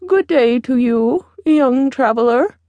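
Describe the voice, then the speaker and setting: Slow, high-voiced old beggar woman, acted by a woman. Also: lively intonation, dark tone, dry recording, muffled recording, heavily acted. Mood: pleading. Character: old beggar woman in rags, wise, instructing.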